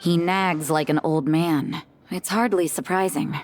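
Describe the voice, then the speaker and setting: A woman playing an aloof, sardonic young nun in an anime video game, deadpan and unimpressed. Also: fairly low pitch, a little slow, soft background music, natural voice. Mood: dismissive.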